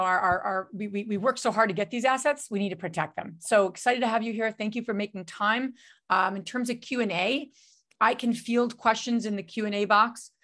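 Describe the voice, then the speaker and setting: A woman's voice: mid-range voice, a bit bright. A woman hosting a webinar over a video call, talking casually with many fillers and restarts. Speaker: webinar host.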